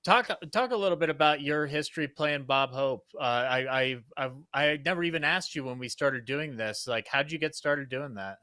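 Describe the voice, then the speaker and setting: A man with a bright, forward voice hosts a casual live interview. He talks haltingly, doubling back on phrases, in a very dry sound. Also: fairly high pitch, smooth, no echo. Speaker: host interviewer.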